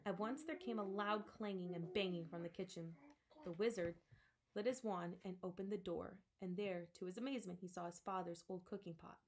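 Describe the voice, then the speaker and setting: Expressive woman reading a story aloud. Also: on the low side, brisk. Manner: dramatic storytelling delivery.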